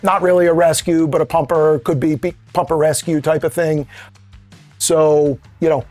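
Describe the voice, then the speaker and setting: A man's voice: a little high, fairly even intonation, normal speed, darkish tone, soft background music. A man speaking as an interview guest, explaining things informally in conversation. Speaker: interview guest, sales director.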